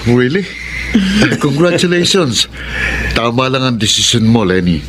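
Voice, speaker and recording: A Filipino actor playing a friendly, slightly hesitant best friend in a radio drama. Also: male voice, medium pitch, big pitch swings, unhurried, background noise. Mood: polite, congratulatory. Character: Rodel, amiable male best friend bringing good wishes.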